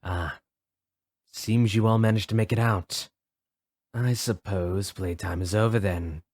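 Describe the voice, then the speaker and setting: A man playing a menacing, mocking, unhurried villain in an amateur audio drama. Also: lowish voice, fairly even intonation, darkish tone, slight background noise, natural voice. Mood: smug menace.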